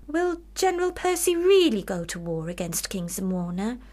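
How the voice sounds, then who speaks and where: An actress playing a thoughtful, quietly defiant Victorian girl in a radio drama, with precise, formal speech. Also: medium pitch, sing-song, moderate pace. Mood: pensive.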